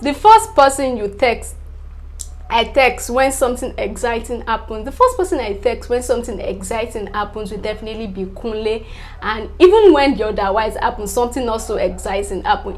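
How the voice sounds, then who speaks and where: Chatty young Nigerian woman vlogging. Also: on the high side. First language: Yoruba. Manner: chatty, filler-heavy, repeats "definitely", laughs between answers. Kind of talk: casual chat to camera.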